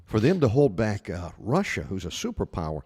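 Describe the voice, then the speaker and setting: Host, male, low-pitched, casual questioner, recorded with a roomy reverb tail. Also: wildly swooping pitch, brisk, a little mellow, husky. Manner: conversational, asks questions, reacts with interjections. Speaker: podcast host. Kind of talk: casual podcast interview.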